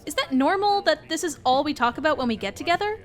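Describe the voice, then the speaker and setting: A woman's voice: sits high, expressive, quick pace, soft background music. A woman playing a sociable, curious newcomer in a comedic audio drama.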